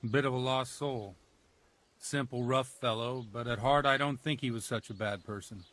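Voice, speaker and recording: An actor playing a solemn, fatherly village priest in a video game, speaking in a measured, pious and patronizing manner. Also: medium pitch, fairly even intonation, fairly bright tone, lightly acted. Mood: wry. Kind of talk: video game cutscene acting.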